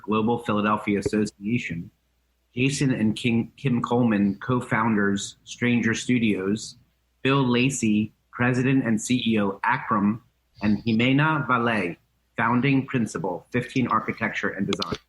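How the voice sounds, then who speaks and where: A man's voice: lowish voice, moderate pace, fairly bright tone, poor audio. A male radio co-host who reads out the lineup and asks the guest earnest follow-up questions. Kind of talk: reading the lineup and asking questions.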